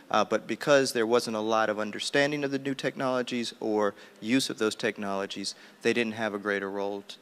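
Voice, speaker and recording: A man speaks in a fairly low voice with long reverb around it. He is giving an unscripted group report at a workshop, as a spokesperson does. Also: fairly bright tone. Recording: reverberant hall sound.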